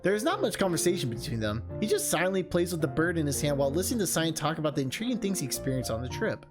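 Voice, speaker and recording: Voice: medium pitch, big pitch swings, racing. Streamer narrating over music. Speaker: video game streamer, host. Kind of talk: reading a visual novel aloud, casual vlog chat.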